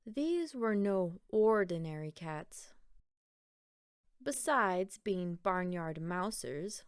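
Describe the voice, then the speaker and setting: A woman narrates a picture book for children. Her delivery is unhurried and deliberate, broken into short phrases with emphatic pauses. The intonation is very lively, and the sound is dry with little room echo. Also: on the low side, smooth. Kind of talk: expressive storybook read-aloud narration.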